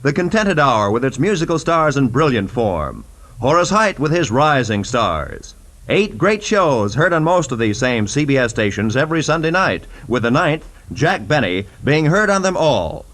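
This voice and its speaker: A man's voice: medium pitch, very animated, moderate pace, fairly bright tone. A man with an American accent announcing on 1940s radio in a polished, emphatic promotional style.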